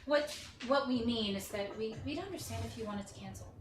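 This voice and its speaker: Actress for gently concerned woman in a play, slightly high voice, distant mic. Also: fairly quick, lightly acted. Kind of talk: staged play reading. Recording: roomy, distant mic, noisy.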